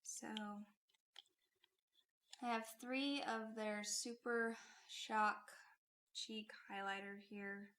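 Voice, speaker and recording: A woman's voice: a little high, fairly even intonation, very slow, long pauses, fairly bright tone, a little hiss or hum. A woman hosting a casual makeup vlog, chatty and friendly, her voice still a little off from a recent illness. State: recovering from illness, voice off. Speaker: beauty YouTuber.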